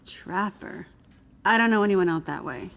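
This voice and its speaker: A woman playing a guarded older woman scientist in a video game, speaking in measured, formal, precise phrases. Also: medium pitch, creaky, fairly bright tone. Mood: suspicious. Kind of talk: video game cutscene acting. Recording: faint music behind the voice.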